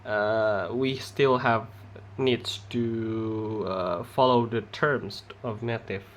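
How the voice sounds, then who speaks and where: Slow, halting Indonesian-accented man. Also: lowish voice, fairly even intonation, slight background noise. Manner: halting, many pauses and restarts. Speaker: student presenter. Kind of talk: class presentation.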